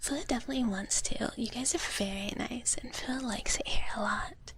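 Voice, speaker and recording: A woman voicing a gentle, shy dragon big-sister streamer, whispering breathily and haltingly in a soft ASMR chat. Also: on the high side. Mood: soft, friendly. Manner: hesitant, halting, soft-spoken, refers to herself in third person. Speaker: ASMR livestream host.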